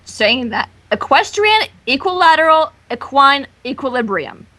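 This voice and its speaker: A woman's voice: high-pitched, big pitch swings, a little slow, pushed and bright. A woman co-reading a story and chatting casually about it. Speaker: co-reader. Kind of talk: casual chat.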